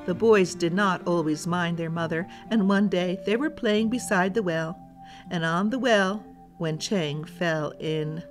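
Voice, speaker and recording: A woman's voice: lowish voice, a little slow, faint music behind. A woman storyteller narrating a children's folktale as a scripted read-aloud.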